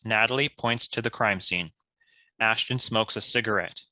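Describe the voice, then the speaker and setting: A man narrates stage directions from a script. His voice is lowish, bright and forward, with a very dry close sound. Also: normal speed, no echo.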